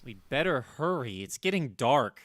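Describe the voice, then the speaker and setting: A woman's voice: a bass voice, sing-song, moderate pace, no echo, studio quality, full character voice. A woman acting a grumbling, pestering teenage son in a 1950s-style family radio comedy.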